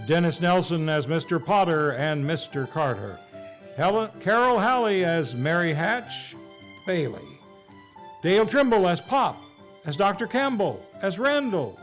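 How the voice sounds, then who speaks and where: A man working as announcer, reading scripted closing credits for a live stage radio show. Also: high voice. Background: faint music.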